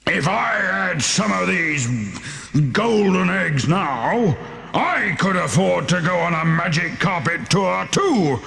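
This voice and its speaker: Huge grumpy giant, slow and booming. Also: male voice, lively intonation, gravelly, sharp tone, big-room sound, soft background music, heavily acted. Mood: angry, roaring. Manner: growling, roaring, grumpy. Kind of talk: audiobook character acting.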